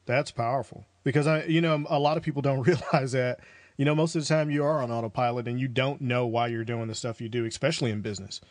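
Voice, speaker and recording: A man's voice: mid-range voice, steady tone, quick pace, no echo. A man hosting an interview podcast in a casual, informal, chatty way, acting out quotes as he talks. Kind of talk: casual chat.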